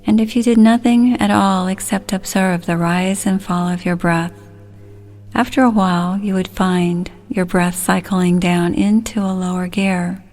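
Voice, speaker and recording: A woman leading a guided relaxation, speaking soothingly and gently in short, unhurried phrases. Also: fairly low pitch, fairly even intonation.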